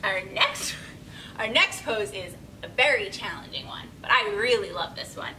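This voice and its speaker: A woman's voice: expressive, moderate pace, sharp tone. A young woman teaching yoga to small children, giving simple, encouraging step-by-step directions as she demonstrates, recorded on a camera across a room. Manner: demonstrating poses while talking. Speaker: children's yoga storytime instructor. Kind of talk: instructional talk for young children. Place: small indoor room with padded steps. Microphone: camera several metres away. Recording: distant camera mic, rumbly background noise.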